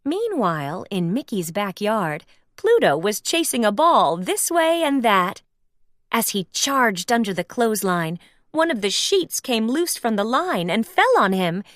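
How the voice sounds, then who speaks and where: Female narrator for a kids' read-along, expressive and unhurried, with a close, dry sound. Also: mid-range voice, no echo. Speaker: storybook narrator.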